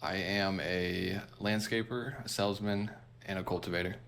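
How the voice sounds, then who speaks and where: A man in his thirties with a deep, creaky voice, recorded with faint background noise. He answers as a dating show contestant. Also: steady tone, normal speed, fairly bright tone. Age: 30s.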